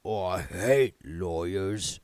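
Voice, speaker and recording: A man's voice: low-pitched, a little mellow, clean tone, dry recording. A man voicing a sad, hollow-sounding unseen figure in a book read aloud. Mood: mournful. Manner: sad, slow. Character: hollow, mournful unseen voice from under a chair.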